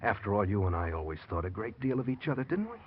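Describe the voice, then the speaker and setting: A guilty, controlling husband in an old radio crime drama. His voice is low and husky, with an edgy undertone. The broadcast sounds dull and dated. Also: very animated, fast talker. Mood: solicitous, guilty. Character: guilty, possessive husband hiding a murder, edgy. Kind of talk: 1940s crime radio acting.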